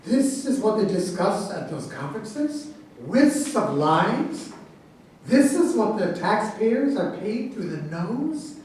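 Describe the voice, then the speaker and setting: A woman's voice: medium pitch, sing-song, takes it slowly, darkish tone. A woman playing an opinionated, indignant research commander in a staged satirical reading, earnest and self-righteous. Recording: echoey room, distant mic, faint noise.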